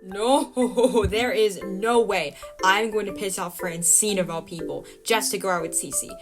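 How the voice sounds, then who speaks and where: A woman's voice: mid-range voice, unhurried, close to an everyday voice. Woman voicing a flustered, stammering young camera operator, over music. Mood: alarmed. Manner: stammering, hesitant, protesting.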